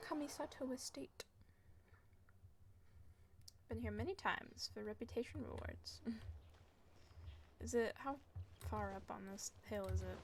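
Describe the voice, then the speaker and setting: A woman's voice: on the high side, darkish tone, a little hiss or hum. A woman streaming a video game, talking casually in a chatty, rambling way and laughing often. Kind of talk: casual live game commentary. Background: game music and keyboard typing.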